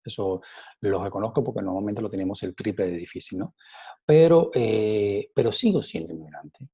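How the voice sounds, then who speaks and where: A middle-aged Cuban man, a scientist, answering interview questions in a halting way with many fillers and repeated words, his accent softened by years in Spain. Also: fairly low pitch, slightly rough. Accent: Cuban Spanish, softened by years in Spain. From Cuba. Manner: animated, rising emphasis on key points.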